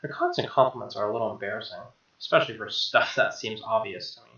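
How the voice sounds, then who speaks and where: A man's voice: lowish voice, quick pace, echoey room, muffled recording. A man reading visual novel narration aloud as a let's player, with offhand asides. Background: soft game music with guitar.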